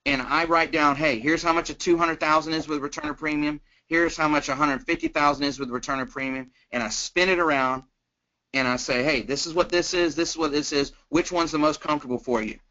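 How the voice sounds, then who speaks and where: A plain-spoken, folksy man coaching casually on sales as a guest trainer, acting out sample pitches as he goes. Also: steady tone, fairly quick, pushed and bright. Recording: dull, lo-fi conference call line.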